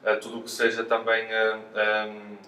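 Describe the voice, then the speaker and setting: A man's voice: lowish voice, completely flat delivery, moderate pace, pushed and bright. A man with a European Portuguese accent giving careful, hesitant explanations as a physiotherapist in an interview. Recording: echoey room, distant mic, faint noise.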